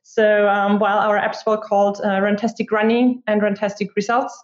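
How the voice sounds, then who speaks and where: A woman's voice: mid-range voice, flat delivery, moderate pace. A woman in her twenties with an Austrian accent, chatting informally as an interview guest and peppering her answers with filler words like "like". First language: German. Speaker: podcast guest, app marketer. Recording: muffled, roomy remote recording.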